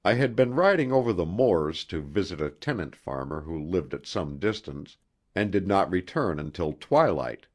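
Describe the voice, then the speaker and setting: A man narrating a literary horror story as an audiobook, in a measured and expressive manner. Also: low-pitched.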